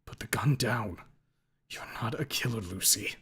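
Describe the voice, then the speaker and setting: A man voicing a father who pleads softly and urgently with his daughter to put down a gun. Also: lowish voice, a little slow, breathy edge, exaggerated voice. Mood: pleading.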